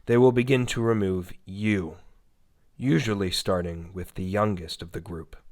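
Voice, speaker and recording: A cold instructional warning from a horror story tape, read by a man. The delivery is formal, clinical and impersonal, in a fairly low voice. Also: fairly even intonation, a little slow, darkish tone.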